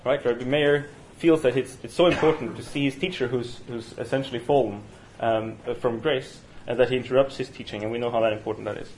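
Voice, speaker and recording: A man with a Norwegian accent leading a university study session, talking in a halting way with many fillers and restarts. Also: mid-range voice, fairly even intonation, slight background noise, low-quality recording. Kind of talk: university seminar lecture. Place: university room. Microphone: single room mic.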